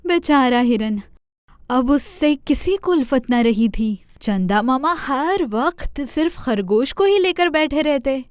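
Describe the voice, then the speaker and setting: Woman, children's story narrator, expressive, high pitched, dry studio recording. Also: clean tone, no room sound.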